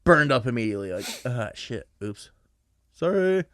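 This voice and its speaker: A man's voice: medium pitch, big pitch swings, moderate pace, fairly bright tone, no echo. A young man co-hosting a casual podcast, bantering sarcastically and swearing freely. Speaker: podcast co-host. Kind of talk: casual chat.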